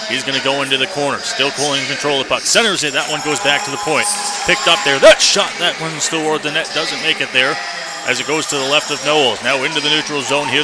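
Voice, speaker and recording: Man calling live hockey over loud arena noise. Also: medium pitch. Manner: clipped running phrases, excited shouts on big plays. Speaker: radio hockey play-by-play announcer. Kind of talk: live sports radio commentary. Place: indoor ice hockey arena. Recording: noisy live arena broadcast.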